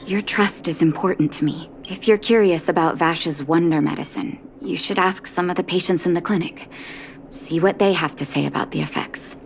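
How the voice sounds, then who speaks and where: A woman playing a principled, caring doctor in a video game, measured and serious with weary pauses. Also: fairly low pitch, gravelly, a little hiss or hum. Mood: calm, grateful.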